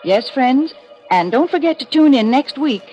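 A woman's voice: high-pitched, expressive, normal speed, loud music behind the voice. A woman announcer giving a scripted, folksy 1940s radio sponsor message in a chatty, persuasive way. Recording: dull old radio recording.

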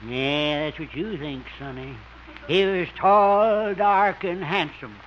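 A man's voice: fairly high pitch, takes it slowly, a bit bright. An actor playing a nosy old male farmer who gossips in a drawling rustic way, in a 1950s radio comedy. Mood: teasing. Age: older. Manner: drawling rustic talk, calls people sonny. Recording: dull old radio recording with hiss.

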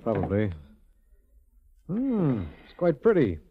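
Mid-pitched male, formal district attorney, deliberate, authoritative, dull muffled old radio drama. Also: huge pitch swings, breathy edge, exaggerated voice. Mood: calm, businesslike. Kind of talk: 1940s radio drama acting. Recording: muffled 1940s radio recording.

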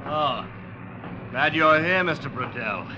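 A man's voice: fairly high pitch, animated, takes it slowly. A man acting a dutiful junior ship's officer in a 1950s radio drama, reporting deferentially. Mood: dutiful, concerned. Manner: deferential, crisp naval reporting. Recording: muffled, noisy old radio recording.

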